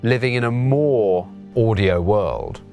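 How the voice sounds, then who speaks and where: Musing low-voiced man, guitar behind. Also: slow, warm tone. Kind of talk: casual interview.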